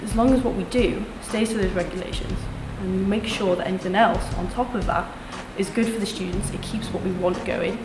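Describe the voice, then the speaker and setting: A woman's voice: medium pitch, fairly even intonation, brisk, hardly any pauses. Young woman, student candidate, earnest and confident, echoey room, music underneath. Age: young adult. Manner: earnest, confident, restates points in lists. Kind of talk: campaign pitch to camera.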